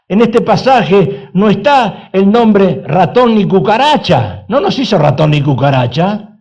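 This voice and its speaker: A man's voice: sits high, sing-song, measured pace, darkish tone, muffled recording. A man preaching a sermon as pastor, speaking emphatically with repeated phrases and rhetorical questions.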